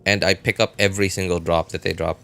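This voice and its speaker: A man chatting casually as a game livestreamer, thinking aloud in a halting way and often repeating himself. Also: low voice, flat delivery, creaky, pushed and bright, soft background music.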